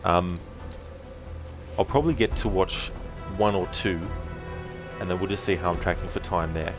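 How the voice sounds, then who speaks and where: A man's voice: deep voice, moderate pace, music in the background. A man chatting casually to viewers as a game streamer on a livestream.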